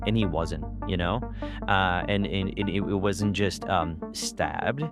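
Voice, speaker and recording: A man's voice: low-pitched, fairly even intonation, takes it slowly. A man playing a nervous, rambling, starstruck forensic analyst in a comedic audio drama, stammering and repeating his words. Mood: nervous, hesitant. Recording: loud music behind the voice.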